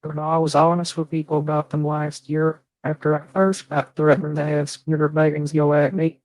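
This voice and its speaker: A man chatting casually on a live stream, halting and rambling, swearing often. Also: on the high side, little pitch movement, no room sound, low-quality recording.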